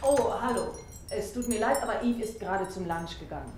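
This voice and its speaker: An actress in a radio drama playing a frank, sharp-tongued working woman with a dry, teasing manner. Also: medium pitch, animated, fairly quick, background noise, close to an everyday voice. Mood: polite. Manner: blunt, dryly teasing.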